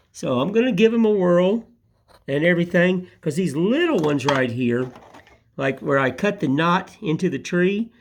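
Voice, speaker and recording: An older man with a raspy, hoarse voice from a cold, chatting casually and rambling as he hosts his woodcarving channel. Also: on the high side. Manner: rambling, homespun, folksy filler phrases. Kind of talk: casual chat to camera.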